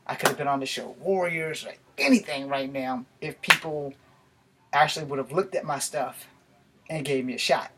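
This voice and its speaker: Higher-voiced American man rambling to camera. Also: normal speed. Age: 40s. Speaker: YouTube vlogger. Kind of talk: rambling casual talk to camera. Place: small cluttered bedroom. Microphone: camera a few feet away. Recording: noisy home camera recording with clicks.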